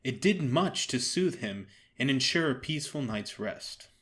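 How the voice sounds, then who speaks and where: A man narrating a dramatized story as a solo performer, in a measured and wry manner. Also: mid-range voice, lively intonation, fairly bright tone.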